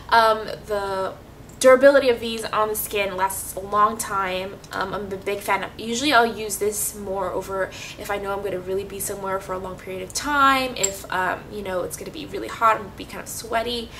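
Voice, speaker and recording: A woman's voice: medium pitch, fairly bright tone. A woman vlogger chatting casually through her makeup routine, sniffly with a cold and full of ums. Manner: chatty, many ums and restarts. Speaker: beauty vlogger. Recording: slightly distant mic, faint hiss.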